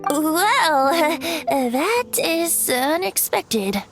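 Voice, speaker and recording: A woman's voice: high-pitched, very animated, very slow, exaggerated voice. A woman playing a playful young male bard in a video game, boyish and airy, with a whimsical, teasing, theatrical manner. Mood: awkward. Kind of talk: English video game character acting. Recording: background game music under voice.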